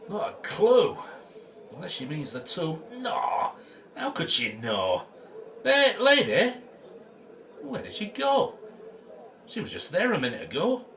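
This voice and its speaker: An actor as an easygoing male archaeologist in a radio play. He is blokey and wry, with a highish, animated voice over a light ambient background. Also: slow, long pauses, a little hiss or hum, full character voice. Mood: puzzled. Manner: informal, blokey. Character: easygoing, bluff male archaeologist, wryly sceptical. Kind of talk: audio drama acting.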